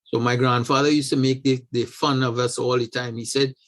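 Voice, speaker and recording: A man from Trinidad and Tobago talks as an interview guest, spinning a family anecdote at an easy, unhurried pace. He often circles back and restarts his phrases. His voice sits at an ordinary middle pitch for a man. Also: flat delivery. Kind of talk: conversational storytelling.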